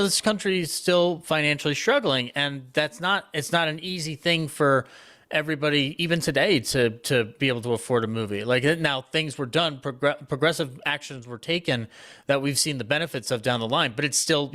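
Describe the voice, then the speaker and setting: A man's voice: fairly high pitch, fairly quick, pushed and bright. A man on a discussion panel, talking informally about history and building his points emphatically with repeated phrases.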